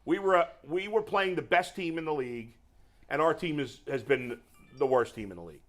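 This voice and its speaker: A middle-aged man with a New York accent and a deep voice, though it sits a bit higher than most men's. He rants in loose, exasperated bursts as a talk show co-host. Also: fairly quick. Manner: animated, exasperated ranting. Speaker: sports radio co-host. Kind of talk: casual ranting chat.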